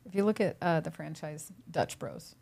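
Low, gravelly upbeat woman in her fifties. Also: expressive, moderate pace, a little mellow. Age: 50s. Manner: upbeat and chatty, laughs easily. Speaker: personal trainer and gym owner. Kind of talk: casual interview chat.

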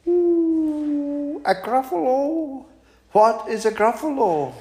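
Storybook owl, male, silly and greedy, hooting "ooh"s, very high and swooping. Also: animated, takes it slowly, a little mellow, heavily acted. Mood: eager hooting. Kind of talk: children's story reading.

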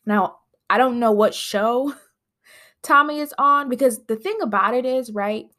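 A woman's voice: on the high side, a little slow, sharp tone. A woman hosting her own podcast in casual, rambling chat, her voice nasal from congested sinuses. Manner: chatty, rambling with frequent fillers. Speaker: podcast host.

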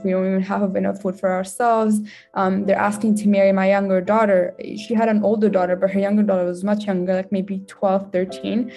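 Young woman, soft and even. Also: medium pitch. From Afghan family, born in Pakistan, grew up there and in Canada. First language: not English. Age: 20s. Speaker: interview guest. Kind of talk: personal storytelling in a podcast interview.

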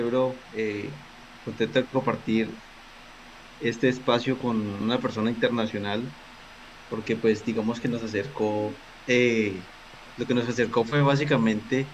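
A man's voice: mid-range voice, a little hiss or hum, rough recording. A man with a Colombian accent from Cali, a podcast guest chatting casually in a friendly, slangy way over a remote call.

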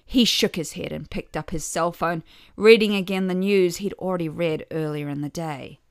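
A woman's voice: fairly low pitch, normal speed, smooth, no echo. A middle-aged woman reading a scripted first-person story with wry, dry humour. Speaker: podcast author and narrator.

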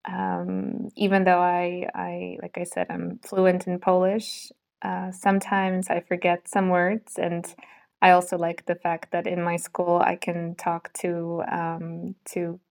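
A woman's voice: on the low side, completely flat delivery, slow pace, creaky, darkish tone. A woman who grew up in Singapore and runs a school, speaking in a casual interview, thoughtful and full of restarts. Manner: thoughtful, with frequent fillers and restarts. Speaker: school owner, guest.

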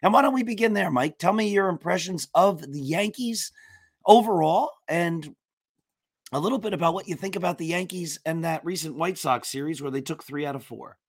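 A man hosts a casual sports talk show from a remote call, his voice worn and hoarse. He talks in an upbeat, chatty way and jumps from topic to topic. His voice sits a little high for a man, and the sound is close and dry. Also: moderate pace, no echo. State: losing his voice. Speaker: podcast host.

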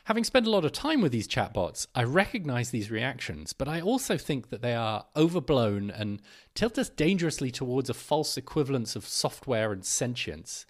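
A man's voice: mid-range voice, sing-song, moderate pace. A man hosting a podcast, reading scripted narration and an article aloud.

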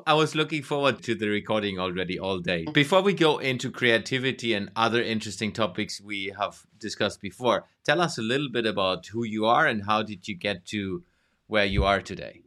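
A man's voice: on the low side, brisk, bright, forceful tone. A man hosting a podcast interview over a video call, asking questions in a friendly way and adding short affirmations. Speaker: podcast host.

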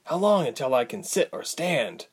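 Storybook role: a man doing an injured young woman, soft and strained, voice pushed well up. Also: fairly high pitch, big pitch swings, moderate pace, slightly rough, voice pushed up. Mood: subdued. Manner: strained, quiet, pained. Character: wounded young woman, tired and guarded.

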